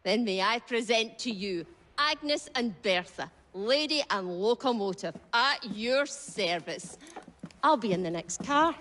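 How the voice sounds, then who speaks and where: A woman's voice: a little high, expressive, measured pace, a bit bright, slight background noise. An actress playing a spirited, chatty working-class woman who drives a train.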